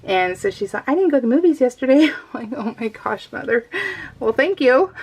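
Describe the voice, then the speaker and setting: A middle-aged woman chatting casually and informally as she narrates a craft vlog, telling stories in an animated, giggly way. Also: high-pitched, moderate pace, breathy edge, poor audio. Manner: giggly, animated storytelling with quoted exchanges. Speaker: craft vlogger.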